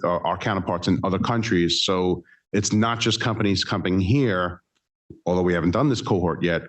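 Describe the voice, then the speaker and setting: A man speaking on a panel for a trade association, conversational and loose, with fillers. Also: deep voice. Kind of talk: panel talk.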